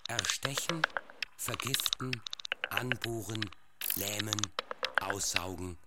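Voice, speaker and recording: A performer narrating a radio drama as a methodical lecturer, reciting scientific terms in clipped, deliberate lists. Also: mid-range voice, close to an everyday voice. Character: dry, methodical entomology lecturer reciting catalogues of insects. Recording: heavy electronic clicking and chirping noise behind. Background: electronic and insect chirps, clicks.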